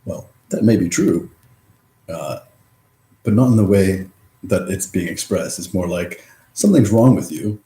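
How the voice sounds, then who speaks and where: A man giving a rambling, reflective vlog monologue, mumbling and halting, with drifting pauses between phrases. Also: deep voice, lively intonation, mellow. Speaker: vlogger. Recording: roomy echo.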